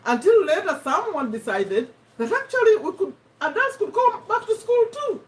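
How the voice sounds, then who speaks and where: A woman with a Ugandan accent hosting a casual live stream, chatting to viewers in an animated way and repeating herself for emphasis. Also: very high-pitched, normal speed. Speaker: host of a live personal development discussion. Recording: voice somewhat far from the mic.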